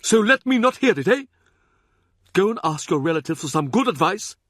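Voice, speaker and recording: A man's voice: high voice, very animated, moderate pace, fairly bright tone, no room sound, clean studio sound, pitched above the performer's own voice, heavily acted. A man voicing a domineering, scornful older cardinal who mocks and bullies as he speaks. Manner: mocking, bullying, theatrical.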